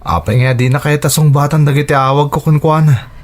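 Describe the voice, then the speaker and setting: A man's voice: on the high side, steady tone, a little fast, natural voice. A man playing a regretful husband and son who questions and pleads in an audio drama. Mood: anxious, regretful. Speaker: voice actor. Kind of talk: Ilocano audio drama acting.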